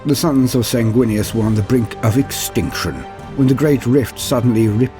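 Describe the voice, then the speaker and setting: A man narrating war-fiction lore in a grave, theatrical manner, with deliberate phrasing that falls at the ends of lines. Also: lowish voice. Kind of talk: dramatic scripted reading of war-fiction lore. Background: background music.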